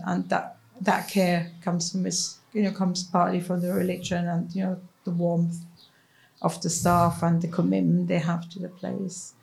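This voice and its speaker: Middle-aged Swiss-born head teacher, woman, Swiss German native; low, husky-gravelly voice, easygoing talk. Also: low-quality recording. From Switzerland. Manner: chatty, restarts, soft laughs. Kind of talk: casual interview chat.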